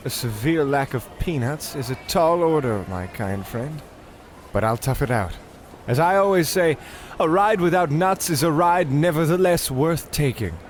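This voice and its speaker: An actor playing a pompous, fussy, vain old detective and singer in an old-time radio comedy. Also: male voice, on the high side, expressive, moderate pace, a little hiss or hum, natural voice. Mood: self-satisfied. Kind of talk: old-time radio play parody acting.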